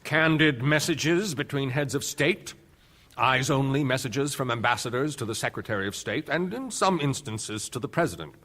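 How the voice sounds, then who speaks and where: A man's voice: medium pitch, expressive, normal speed, fairly bright tone. An actor playing a male defense official testifying in a radio courtroom drama, formal, clipped and precise. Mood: formal, guarded. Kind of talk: radio play acting.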